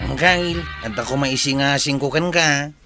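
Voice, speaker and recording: A man's voice: mid-range voice, normal speed, music in the background, close to an everyday voice. An actor with an Ilocano Filipino accent playing an eager, coaxing village man in a radio comedy drama.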